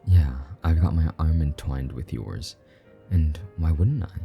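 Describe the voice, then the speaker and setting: A man's voice: rumbling low, measured pace, muffled recording, faint music behind. A man playing a possessive, teasing demon lover in an intimate roleplay monologue, taunting and doting by turns. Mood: possessive, proud.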